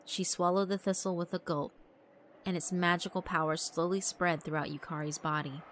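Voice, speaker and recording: A woman narrating a horror visual novel in a measured, dramatic storytelling manner. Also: fairly low pitch, little pitch movement, a bit bright, a little hiss or hum.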